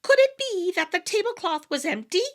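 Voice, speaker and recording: A woman voicing a sly little fairy leader, a small man with a high, sing-song voice. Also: big pitch swings, normal speed. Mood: teasing.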